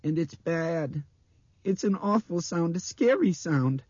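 A small girl's voice, put on by a male narrator, pitched far above a man's talk. She is dreamy and eerie, trembling with fear and insistent, in an audiobook horror story. The recording is slightly dull and dry. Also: lively intonation, slow pace, a little mellow, dry recording. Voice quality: breathy, trembling. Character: frightened blind little girl, dreamy and eerily intense.

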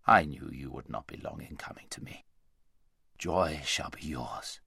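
Guy doing a big solemn storybook lion, deep n slow, grave but gentle, very deliberate. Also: big pitch swings, no room sound, studio quality, full character voice. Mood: tender, solemn. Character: great solemn male lion, gentle yet commanding.

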